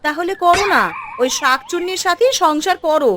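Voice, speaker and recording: Woman playing a high-voiced, fussing village housewife. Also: brisk, a bit bright, slight background noise. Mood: huffy. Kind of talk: Bengali folk-tale cartoon voice acting.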